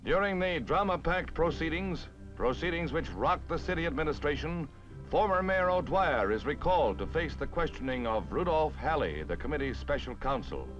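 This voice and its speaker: A male American newsreel narrator from the 1950s with a highish, very animated voice. He delivers the script in punchy, dramatic fashion, on a dull old soundtrack with music behind him. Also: moderate pace.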